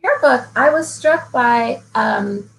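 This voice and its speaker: Gravelly-voiced woman interviewing thoughtfully. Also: high-pitched, lively intonation, slow, a little hiss or hum, poor audio. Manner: thoughtful, riffing, warmly admiring. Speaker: interviewer and fellow poet. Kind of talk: conversational interview.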